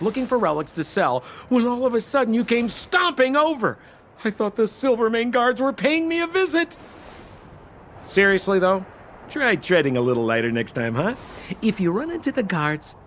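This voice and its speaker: High-pitched, flamboyant con-man charmer. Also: slight background noise. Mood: chummy, patronizing. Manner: theatrical, ingratiating salesman patter. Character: flamboyant, silver-tongued con-man treasure dealer, young adult man. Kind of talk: animated video game voice acting.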